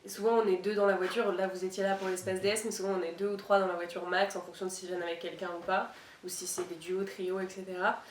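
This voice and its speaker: A woman telling stories casually, in the manner of a relaxed group interview. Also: medium pitch, quick pace, recorded from a distance. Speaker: artist driver for event crew. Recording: echoey room, faint noise.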